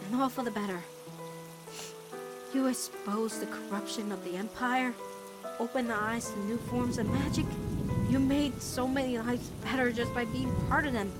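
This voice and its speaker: A woman voicing a devoted, anxious teenage witch girl in an animated-style comic dub, earnest and halting in delivery. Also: a little high, music in the background, natural voice. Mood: admiring, tender.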